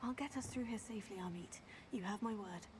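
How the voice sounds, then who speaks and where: A woman voicing a brave, protective young witch in a video game, steady and reassuring. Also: mid-range voice, a little fast, noisy background, natural voice. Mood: reassuring, determined.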